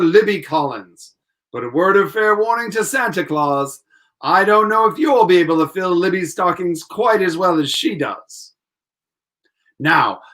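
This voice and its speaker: Hearty 1940s announcer, played by a man, high pitch, very animated, polished, salesmanlike. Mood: jovial. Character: upbeat, polished male 1940s radio announcer. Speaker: announcer and narrator.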